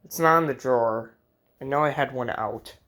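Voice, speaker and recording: An American hobbyist vlogger with a mid-pitched voice talks casually and off the cuff. The delivery rambles and drifts between side remarks, with a joking streak. It sounds like an unscripted home video made in a bedroom. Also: moderate pace, a bit bright. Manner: rambling, jokey, easily sidetracked. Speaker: hobbyist vlogger showing a radio collection. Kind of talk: casual unscripted vlog chat. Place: indoors in a bedroom.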